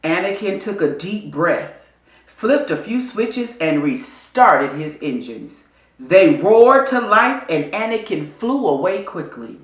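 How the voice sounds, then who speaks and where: A woman reading a children's adventure story aloud in a deliberate, dramatic storytelling style. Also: on the low side, very animated, roomy sound, poor audio. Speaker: storybook reader.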